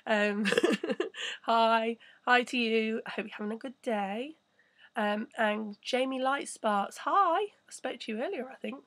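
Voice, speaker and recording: A woman hosting a casual live stream, chatting and rambling with a croaky voice. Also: a little high, a little slow, a bit bright, dry recording. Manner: chatty, rambling, reads viewer messages. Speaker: crypto channel host.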